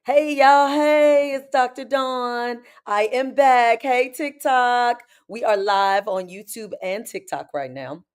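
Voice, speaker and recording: A woman with a hoarse, raspy voice, hosting a casual live-stream chat as a spiritual coach and talking familiarly to her viewers. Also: a little high, takes it slowly, few pauses. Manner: chatty, familiar, calls viewers "baby" and "y'all".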